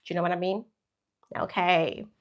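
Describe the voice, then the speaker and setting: A woman's voice: on the low side, moderate pace. Chatty woman teaching. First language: Hindi. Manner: chatty, animated, frequent asides and tag questions. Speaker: English teacher. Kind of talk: live stream English lesson.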